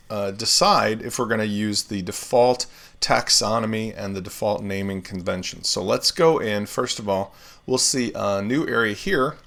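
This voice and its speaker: A man hosting a live software tutorial, explaining steps in a relaxed way with everyday comparisons while still getting over laryngitis. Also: fairly low pitch. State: recovering from laryngitis, swallowing often. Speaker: web developer tutorial host.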